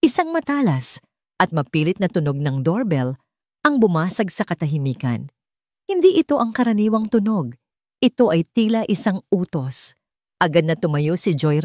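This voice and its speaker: A woman narrates a scripted, dramatic audio story. Her voice is on the lower side for a woman. The recording is dry, with very little room sound. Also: darkish tone, no echo.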